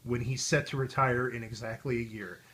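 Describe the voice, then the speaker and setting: A man's voice: mid-range voice, fairly even intonation, fairly quick, fairly bright tone. American man in rambling, wry casual chat. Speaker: video host.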